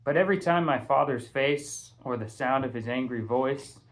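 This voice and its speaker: A man reading a first-person story aloud in an expressive, casual, conversational way. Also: mid-range voice, moderate pace. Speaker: audiobook reader, teacher.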